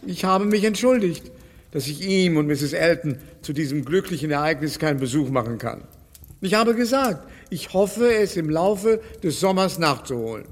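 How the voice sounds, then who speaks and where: An older man acting in a radio play as a fussy, self-pitying elderly gentleman, plaintive and courteous. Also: a little high, animated, moderate pace. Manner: plaintive, fussily polite. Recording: long reverberant hall.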